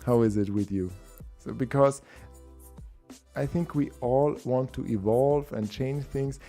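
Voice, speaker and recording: A man hosting a casual live stream, a bit hoarse from being unwell, chatty and enthusiastic. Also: mid-range voice, a little slow, dark tone, soft background music. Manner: enthusiastic, chatty, with laughs and restarts. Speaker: host of a typography livestream.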